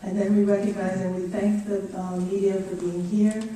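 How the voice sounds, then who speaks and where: A woman moderating a formal event, speaking courteously and deliberately to those attending. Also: mid-range voice, little pitch movement, dark tone. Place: indoor meeting room. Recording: echoey room, distant mic, faint noise.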